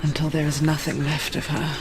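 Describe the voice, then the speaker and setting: A woman acting as an intimate, ominous narrator inside a troubled mind, hushed and dramatic, in a video game. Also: low voice, completely flat delivery, a little fast, very noisy.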